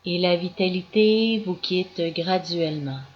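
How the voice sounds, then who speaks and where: A woman guiding a yoga nidra meditation as scripted narration, in calm, measured instructions with long pauses. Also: lowish voice. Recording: dull lo-fi recording with clear background noise.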